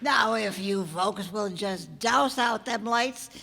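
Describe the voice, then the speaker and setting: A woman's voice: mid-range voice, slow, vocal fry, pushed and bright, full character voice. A woman playing a gleeful, folksy hundred-year-old witch who hosts an old-time radio horror show with theatrical cackles. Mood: folksy, sly. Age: over 100 as played. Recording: echoey hall stage performance.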